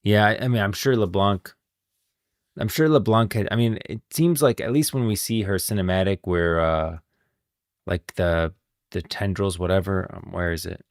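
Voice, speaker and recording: A man's voice: sits low, moderate pace, no room sound, studio quality. A man giving casual live commentary as a game lore streamer, informal and musing aloud.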